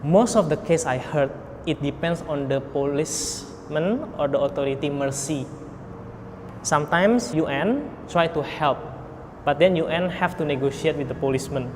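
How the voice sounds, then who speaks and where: A man explaining the situation of refugees in a documentary interview, measured and explanatory, with non-native grammar. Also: fairly high pitch, a little mellow. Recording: reverberant room with faint ambient noise.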